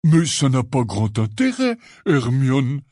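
A man voicing a big, hearty, stubborn but good-natured gamekeeper in a storybook, with a husky, blustery drawl. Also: medium pitch, very animated, slow pace, mellow, no room sound, studio quality, voice pushed up. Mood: proud.

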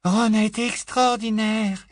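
A man voicing a bold young witch who speaks with warmth and emphasis in a storybook reading. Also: high voice, a little slow, no room sound, studio quality, pitched above the performer's own voice. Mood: eager. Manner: lively, emphatic. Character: bold young witch, spirited auror woman.

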